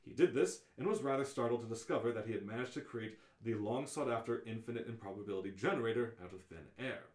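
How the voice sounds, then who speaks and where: A man's voice: mid-range voice, fast, a little mellow, reverberant. A man reading scripted narration as host of an informal live radio-play read-through.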